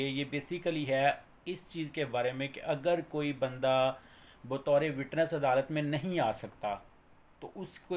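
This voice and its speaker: A man with a Pakistani accent lecturing informally on law, explaining by repeating and rephrasing, with English terms mixed in. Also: on the high side.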